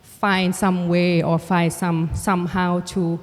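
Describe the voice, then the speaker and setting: A Thai woman artist and filmmaker, a native Thai speaker, talking reflectively in a halting way with many restarts and fillers. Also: lowish voice, level tone. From Thailand. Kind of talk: reflective conversation. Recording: hall with long reverb.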